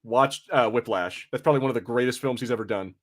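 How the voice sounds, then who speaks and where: A male podcast host in relaxed panel conversation. His pitch sits in the middle range, and he speaks informally, with casual swearing mixed in. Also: fast. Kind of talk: casual fan panel chat.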